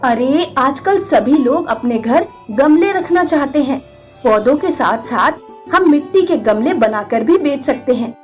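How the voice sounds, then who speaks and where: Woman as a practical, high-voiced village wife. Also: lively intonation, unhurried, faint music behind. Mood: persuasive.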